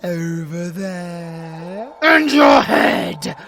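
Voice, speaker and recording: A British man playing a sadistic, gloating monster villain in an audio roleplay, gravelly and theatrical, swinging from hushed taunts to shouts. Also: high voice, expressive, drawn-out words, faint music behind, heavily acted. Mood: cruel gloating. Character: sadistic male monster villain, gloating, menacing, hungry for prey.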